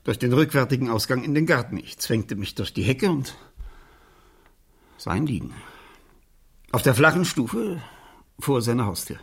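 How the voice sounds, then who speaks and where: A man playing an evasive, irritable uncle in a German radio crime drama, halting and easily indignant. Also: mid-range voice, lively intonation, vocal fry, fairly bright tone, breathy edge, full character voice. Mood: reluctant, halting. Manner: hesitant, halting, turning indignant when pressed. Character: evasive, irritable uncle questioned by his teenage niece.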